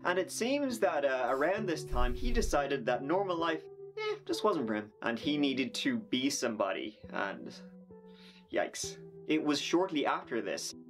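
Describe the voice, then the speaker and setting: A man's voice: on the high side, very animated, measured pace, over music. A young man narrating a true crime documentary, dropping in dry, sarcastic asides between the facts.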